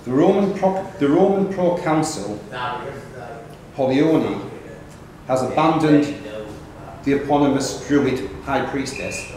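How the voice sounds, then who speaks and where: A man's voice: a little high, slow pace, pauses often, a little mellow. A man acting as quiz master in a pub, reading questions aloud and calling on players by name. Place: pub quiz room, indoors. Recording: very echoey pub room, clear chatter.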